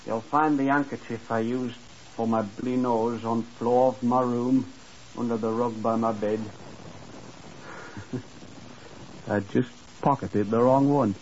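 An actor playing a bitter, sneering young murderer who was dismissed as dim, in a mocking and defiant 1950s radio crime drama style. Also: male voice, mid-range voice, fairly even intonation, slow pace, long pauses, darkish tone, close to an everyday voice. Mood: bitter, confessing. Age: 20s. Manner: mocking, defiant, breaking into chuckles. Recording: muffled, noisy 1950s radio recording.

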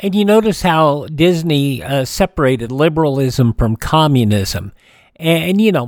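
A man's voice: a little high, darkish tone, no echo, clean studio sound. An American man hosting a radio show, talking conversationally about history.

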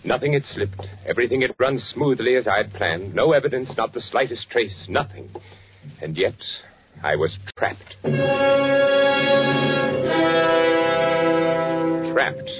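An actor playing an agitated, trapped undercover architect, narrating intensely in a 1940s radio thriller. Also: male voice, mid-range voice, expressive, normal speed, loud music behind the voice, lightly acted. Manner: brooding, intense first-person confiding with dramatic repetition. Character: agitated, trapped male architect working undercover in Nazi Germany. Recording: muffled vintage 1940s radio recording.

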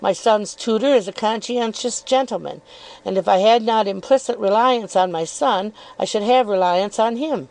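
A woman reading an audiobook, voicing a cold, stately older mother who speaks in a cool, measured way. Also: mid-range voice, darkish tone, lightly acted, low-quality recording.

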